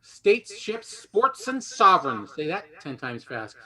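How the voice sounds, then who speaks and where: Male game show host, high and bright voice, chatty, casual, rephrasing as he goes. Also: very animated, unhurried.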